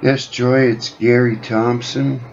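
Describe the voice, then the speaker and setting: A man's voice: mid-range voice, level tone, slow, a little mellow. An insistent, rambling man making an informal complaint over the phone as a caller. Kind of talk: phone call, informal advocacy talk. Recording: dull lo-fi phone call recording.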